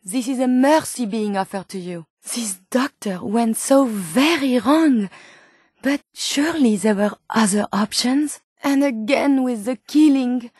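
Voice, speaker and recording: A high, closely recorded female voice with a French accent, an earnest video game medical robot who is learning to be human. She speaks in formal, carefully chosen words, with open curiosity and tender feeling. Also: measured pace, slightly rough, dry recording, studio quality. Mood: stern, reproachful. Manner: precise, formal, expressive diction. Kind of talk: video game character dialogue.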